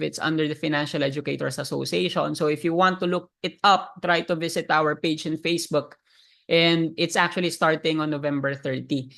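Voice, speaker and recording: Filipino market educator, gravelly, mid-pitched, chatty live-lesson delivery. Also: a bit bright. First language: Tagalog. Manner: addresses viewers directly, asks them to type in chat; frequent fillers and restarts. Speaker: trading educator.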